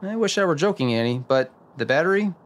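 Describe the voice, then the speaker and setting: A man's voice: on the high side, animated, fast talker, slight background noise, close to an everyday voice. A man playing an earnest, wry detective narrator in an old-time noir radio drama. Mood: earnest, unruffled. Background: music under the voice.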